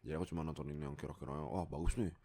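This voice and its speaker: A man's voice: very deep voice, steady tone, racing, dark tone. A young man with an Indonesian accent telling personal stories in a casual, rambling, self-deprecating way into a desktop microphone. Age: young adult. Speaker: YouTube content creator and amateur voice actor. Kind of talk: casual chatty personal storytelling. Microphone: Fifine K690 desktop USB microphone.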